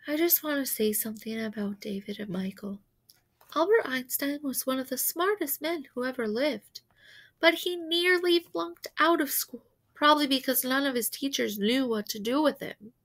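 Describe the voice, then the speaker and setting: A woman's voice: high voice, moderate pace, a bit bright, pitched above the performer's own voice. Woman reading as an earnest, protective mother. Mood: earnest, subdued. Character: earnest, protective mother defending her misunderstood son.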